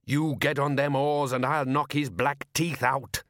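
A man voicing a gruff, hot-tempered middle-aged fisherman father in an audiobook, blustering and snapping in rustic speech. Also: mid-range voice, little pitch movement, measured pace, fairly bright tone, no echo, clean studio sound, voice pushed up, natural voice. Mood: angry, blustering.

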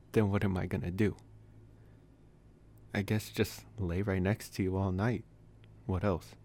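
Low-voiced, intimate young male vampire, played by a man. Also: little pitch movement, takes it slowly, pauses often. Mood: teasing, reassuring. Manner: soft, intimate, hesitant phrasing with sighs and chuckles. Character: possessive, teasing young male college vampire, gently reassuring. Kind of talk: ASMR boyfriend roleplay.

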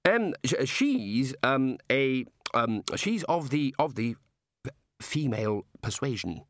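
A man's voice: medium pitch, big pitch swings, slow pace, no room sound, clean studio sound. A man voicing an awkward, earnest wizard in an audiobook, hesitant and stammering. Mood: miserable, flustered.

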